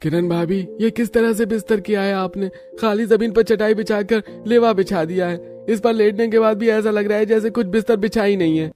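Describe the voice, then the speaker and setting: High-voiced grumbling village labourer, played by a man. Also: fairly even intonation, a little fast, hardly any pauses, darkish tone, soft background music, lightly acted. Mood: irritated. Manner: complaining, taunting. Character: grumbling poor village labourer, adult son, irritable. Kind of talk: Hindi family cartoon voice acting.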